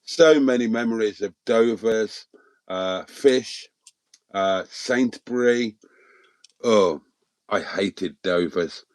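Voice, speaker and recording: Middle-aged man, from Wales, first language Welsh, livestream host, slow unhurried talk, dry close sound, rambling with lots of ums. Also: lowish voice, darkish tone, no echo. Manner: rambling, hesitant, many ums and restarts. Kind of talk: casual livestream chat answering viewers.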